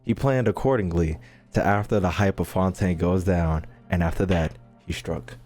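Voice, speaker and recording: A man's voice: low voice, moderate pace, a little mellow. A young American man giving casual, slangy, joking live game commentary as a streamer. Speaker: gaming streamer. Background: faint game music.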